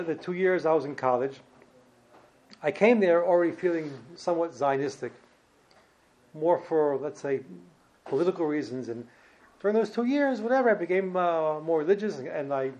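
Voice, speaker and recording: A man in his fifties with a New York accent, giving an informal personal talk as a guest speaker in a halting, slightly uneasy way. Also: on the high side, darkish tone, rough recording. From New Jersey and Brooklyn, New York, United States. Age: 50s. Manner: hesitant, halting, slightly ill at ease. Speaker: guest speaker, former yeshiva student.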